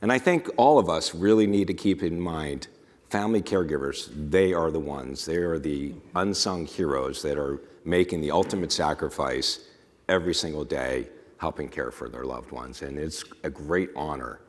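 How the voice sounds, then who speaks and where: A man's voice: a bass voice, moderate pace. A middle-aged man, a home care business owner, speaking on a conference panel in an easygoing, self-deprecating way. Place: conference stage. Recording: long reverb of a large hall.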